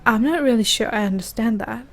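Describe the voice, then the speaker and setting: A woman playing a vulnerable young woman confessing love in an intimate ASMR roleplay, halting and hesitant, often repeating her words. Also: fairly high pitch. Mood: wistful, confused.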